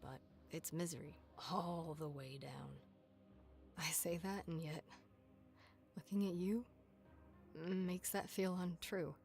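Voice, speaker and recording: A weary, bitter mother voiced by an actress in a horror game, fiercely protective. The voice is deep and unhurried, with brooding pauses over faint music. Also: steady tone, darkish tone. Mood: bleak, tender. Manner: brooding, halting, dramatic. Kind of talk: horror visual novel voice acting.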